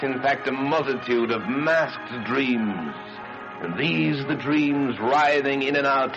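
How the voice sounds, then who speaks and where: A man narrating a horror tale in a dramatic old-time radio style, theatrical, deliberate and ominous, swelling and hushing his phrases. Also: medium pitch, highs cut off. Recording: band-limited radio recording with loud music. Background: music under the voice.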